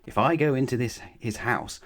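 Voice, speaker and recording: A man's voice: medium pitch, normal speed, fairly bright tone, pitched above the performer's own voice, natural voice. A man voicing a sensible, polite, earnest little lady from a children's storybook. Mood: worried.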